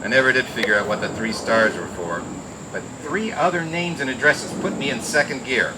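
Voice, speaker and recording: An actor playing a wisecracking, cool private detective who narrates in slangy old radio noir style. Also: male voice, mid-range voice, moderate pace, close to an everyday voice. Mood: wry, cool. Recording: noisy old radio drama recording.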